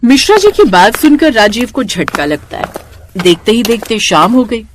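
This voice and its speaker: Animated female storyteller with lively intonation. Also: a little high, moderate pace, a little hiss or hum. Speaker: narrator. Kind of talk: scripted storytelling narration for Hindi cartoon moral stories.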